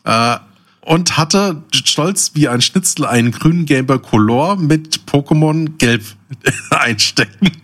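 A man's voice: fairly high pitch, slow, a bit bright. A man chatting casually as a podcast co-host, telling stories in a slangy, joking way. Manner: slangy, jokey. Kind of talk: casual chat with joking anecdotes.

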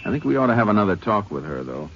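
A calm lawman narrator in a 1950s radio western, very deep voice; dull old broadcast with faint music. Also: a little hiss or hum. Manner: calm, measured, matter-of-fact. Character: steady Texas Ranger, calm lawman narrator.

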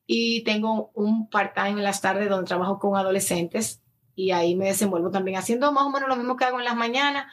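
A woman in her early thirties with a Dominican Spanish accent, talking casually and at length as an interview guest, mixing in English words. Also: mid-range voice. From Dominican Republic. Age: early 30s. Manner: talkative, anecdotal, slips in English words. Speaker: interview guest, influencer and addiction counselor. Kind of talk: casual conversational interview answers.

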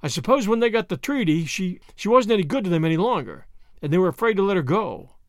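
A man's voice: sits high, animated, fast, no echo, clean studio sound. A man voicing a hot-tempered, heartsick young Englishman in an audiobook, with a clipped, bitter, impassioned manner. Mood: quiet, bitter. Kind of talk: audiobook character voice.

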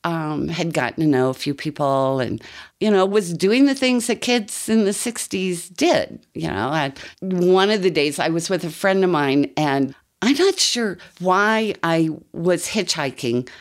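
A woman's voice: on the low side, animated, measured pace. An older woman with an American accent, telling a personal story in a casual, conversational way with rambling asides. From United States.

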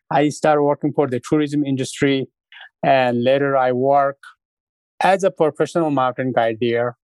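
Casting middle-aged man from Nepal, halting and unhurried. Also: mid-range voice, darkish tone, dry recording, poor audio. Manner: unhurried, halting, with many fillers. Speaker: guest, restaurant founder. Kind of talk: casual interview storytelling.

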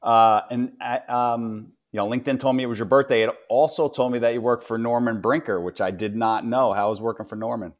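A man's voice: medium pitch, steady tone, a little fast, hardly any pauses. A man hosts a business podcast and asks friendly questions, often hesitating with fillers. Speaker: podcast host, analyst.

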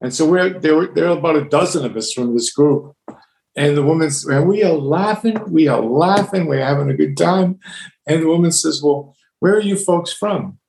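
A man's voice: on the high side, gravelly. American man telling stories in an echoey room. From United States. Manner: relaxed storyteller, halting with restarts, laughs easily. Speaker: guest, laughter yoga leader. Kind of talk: casual conversation. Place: home with household noises.

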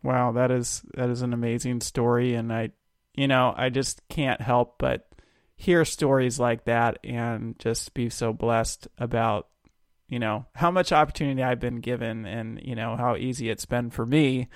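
A man's voice: mid-range voice, fairly even intonation, unhurried, no room sound, clean studio sound. A man hosting an interview, earnest and admiring toward his guest. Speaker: podcast host. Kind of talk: interview conversation.

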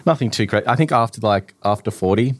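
A man in his forties co-hosting a podcast, lively and quick to laugh in casual chat. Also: fairly low pitch, dry recording. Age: 40s. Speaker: podcast co-host.

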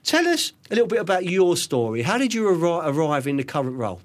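A man's voice: a little high, big pitch swings, fast talker. A middle-aged man hosting a casual studio chat show, rambling and chatty with many restarts. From England. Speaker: podcast host.

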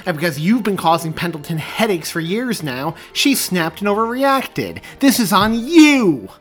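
Angry male cop, read by a man, husky, frustrated, yelling, audiobook. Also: mid-range voice, sing-song, measured pace, exaggerated voice. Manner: shouting, frustrated. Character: stressed, angry male police officer.

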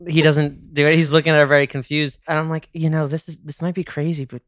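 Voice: medium pitch, flat delivery, fast, dry recording, studio quality. A podcast host from outside New York with a slight New York accent, chatting casually with a caller and telling stories with some swearing. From United States, outside New York.

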